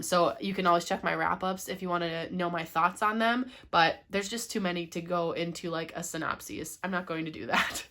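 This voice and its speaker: A woman's voice: on the low side, sharp tone. Congested young woman chatting about books. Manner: chatty, laughs often, frequent ums and likes. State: congested with a cold, cracky voice. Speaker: booktuber host. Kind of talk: casual haul vlog chat.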